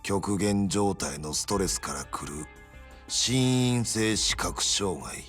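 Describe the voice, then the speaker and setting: A man's voice: sits low, expressive, measured pace, a bit bright, soft background music. An actor playing a grave, protective middle-aged man with a Kansai accent in a Japanese video game drama. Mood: somber.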